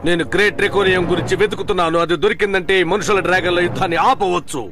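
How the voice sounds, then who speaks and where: A man's voice: high voice, fairly even intonation, rapid-fire. A man voicing a stubborn, dismissive adult in a dubbed cartoon, defiant and condescending. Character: stubborn, dismissive adult digger chasing a great prize. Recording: music behind the voice.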